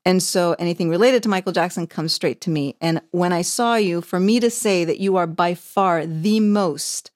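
A woman's voice: on the low side, dry recording, studio quality. A woman hosting an interview, talking eagerly and chattily to her guest. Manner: enthusiastic, chatty. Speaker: host. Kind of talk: casual interview.

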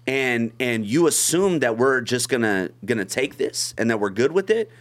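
A man's voice: mid-range voice, expressive, normal speed. A man from Long Beach talking earnestly on a podcast, often restarting his sentences. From Long Beach, California. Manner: earnest, repeats words when starting a thought. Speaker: podcast guest. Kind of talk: casual podcast conversation.

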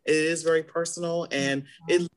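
A hoarse middle-aged woman co-hosting a podcast in casual chat, animated as she acts out her own past reactions. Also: low voice, moderate pace. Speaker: podcast co-host.

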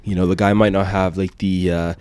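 A man in his thirties telling a casual story as a podcast guest, rambling with many fillers and trailing off quietly. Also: deep voice, flat delivery, brisk, clean tone, dry recording. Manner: rambling, lots of fillers, drops to a mutter.

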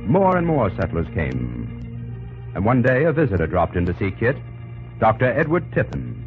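A man's voice: lowish voice, huge pitch swings, moderate pace. A man giving dramatic, scripted narration for an old-time radio drama. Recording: muffled old radio recording with music.

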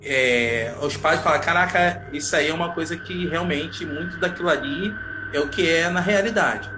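A man's voice: on the high side, normal speed, noisy background. A man co-hosting a casual live chat, joking around and talking in a playful, slangy way.

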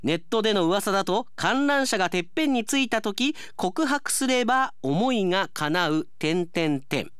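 A man hosting a radio show as announcer, talking conversationally and reading out listener mail in an animated way. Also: high-pitched, measured pace, few pauses, smooth, no echo, clean studio sound.

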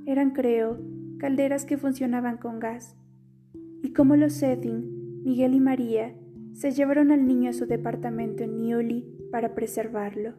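A woman reading a literary letter aloud in a soft, slow, wistful way. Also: a little high. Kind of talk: scripted audiobook reading.

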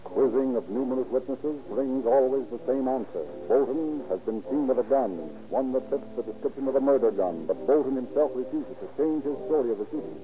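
A man's voice: medium pitch, fairly quick, hardly any pauses. Dramatic male narrator for a vintage radio drama, formal scripted delivery, dull recording with music underneath.

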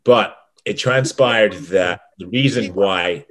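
A man's voice: low-pitched, takes it slowly, a bit bright. A man co-hosting a casual sports podcast, rambling with frequent restarts and easy laughs. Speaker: podcast co-host.

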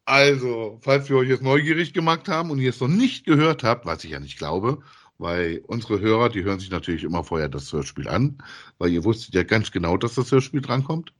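A man co-hosting a casual podcast, speaking in a halting, deliberate way. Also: mid-range voice, lively intonation. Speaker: podcast co-host.

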